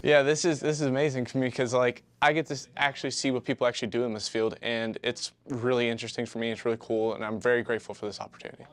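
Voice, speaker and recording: A man's voice: medium pitch, little pitch movement, rapid-fire, few pauses. A teenage male student speaking eagerly and a bit ramblingly about what he is learning. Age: teens. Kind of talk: interview soundbite.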